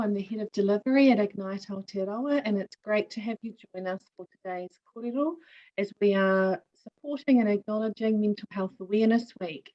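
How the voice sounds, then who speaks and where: A woman hosting a conversation on a video call, speaking in a measured way with a few ums. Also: mid-range voice, dull audio. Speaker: host.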